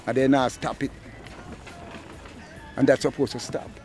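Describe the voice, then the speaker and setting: An older man with a Jamaican accent, talking passionately on a city street into a handheld mic, swinging from low mutters to loud outbursts. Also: little pitch movement, measured pace, faint music behind. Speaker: street interviewee. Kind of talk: impassioned street talk. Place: outdoors on a city street, under a tarp. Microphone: handheld mic held by interviewer.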